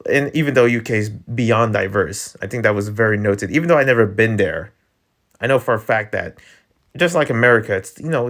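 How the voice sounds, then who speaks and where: A man's voice: on the low side, fairly bright tone. A man with an East Coast American accent hosting his channel, ranting in a rambling way with stammered restarts and laughs. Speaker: channel host. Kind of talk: casual rant vlog.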